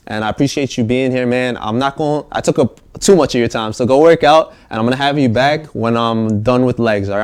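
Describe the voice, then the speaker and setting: A young man hosts a casual online chat show in an easygoing, upbeat voice of middle pitch. He talks loosely, full of fillers, keeping things friendly. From Staten Island, New York. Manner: relaxed, upbeat, frequent fillers and laughs. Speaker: podcast host.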